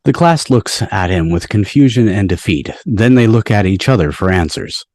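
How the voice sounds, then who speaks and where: A man's voice: on the low side, expressive, normal speed, darkish tone, dry recording. A man narrating scene headings and stage directions from a script at a table read. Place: home, on a video call.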